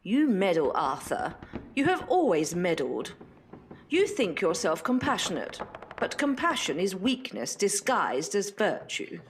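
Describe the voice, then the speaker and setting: A woman's voice: mid-range voice, big pitch swings, a little slow, a little hiss or hum. A woman playing a stern, cold older mother in an audio drama, severe and formal in manner. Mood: stern, dismissive. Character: stern, cold, secretive Victorian mother of a grown son.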